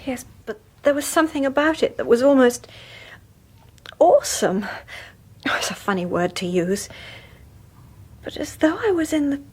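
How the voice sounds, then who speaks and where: An actress playing a haunted young mother in a radio horror drama, speaking hesitantly in a hushed, husky voice. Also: high voice, expressive, moderate pace. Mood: haunted, uneasy. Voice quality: breathy, husky. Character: anxious young mother, haunted and unsettled by her husband.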